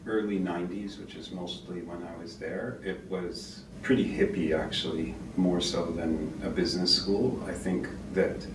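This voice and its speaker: A man in his fifties, answering interview questions in a thoughtful, hesitant way. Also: deep voice. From Canada. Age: 50s. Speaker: guest, co-founder. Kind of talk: casual interview. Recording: roomy, distant mic, background noise.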